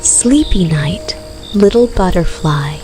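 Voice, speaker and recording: A woman narrating a scripted bedtime story for toddlers in a soothing, gentle, unhurried manner. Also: fairly low pitch, lively intonation. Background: music with rainforest night sounds of rain, crickets and frogs.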